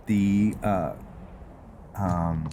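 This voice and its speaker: A man giving casual gameplay commentary mixed with story narration, halting and thinking aloud as he goes. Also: low-pitched, fairly even intonation, dark tone, a little hiss or hum. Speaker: host of a gaming roleplay series. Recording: music behind the voice. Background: music.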